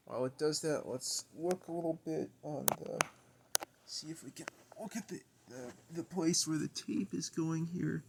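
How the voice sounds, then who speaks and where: Gravelly-voiced man, casually thinking aloud. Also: fairly high pitch, normal speed, background noise. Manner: hesitant, musing, thinking aloud. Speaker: amateur video maker demonstrating equipment. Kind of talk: casual unscripted demonstration. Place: carpeted room at home, indoors.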